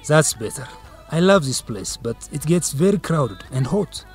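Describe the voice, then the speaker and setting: A man's voice: a little high, sing-song, measured pace, soft background music. A man playing an attentive young man on a date in a scripted radio drama. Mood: attentive, relaxed.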